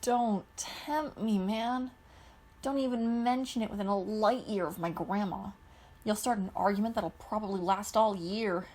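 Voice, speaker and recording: A woman's voice: mid-range voice, fairly even intonation, unhurried, a little mellow. A woman voicing an anxious, big-hearted young man in a storybook reading. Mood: worried. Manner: worried.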